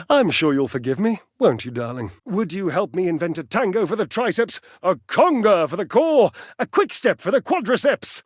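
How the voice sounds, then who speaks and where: An actor playing a flamboyant, vain fitness enthusiast in a video game, speaking grandly and melodramatically and handing out teasing pet names. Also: male voice, sits high, breathy edge, no room sound, clean studio sound, exaggerated voice. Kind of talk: theatrical video game character acting.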